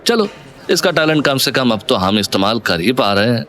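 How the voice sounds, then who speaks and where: A man's voice: mid-range voice, very animated, a little fast, a bit bright. A man playing a confident, considerate, folksy businessman in a Hindi audio drama. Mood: musing.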